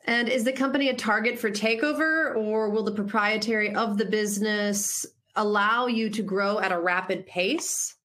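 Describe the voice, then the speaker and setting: A woman's voice: mid-range voice, steady tone, normal speed, hardly any pauses, fairly bright tone. Polite woman moderating an investor conference. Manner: polite, reads questions out. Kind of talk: reading audience questions.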